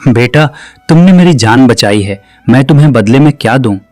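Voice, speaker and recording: A man playing a grateful, kindly old man in an animated fairytale. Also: medium pitch, normal speed, no room sound, lightly acted.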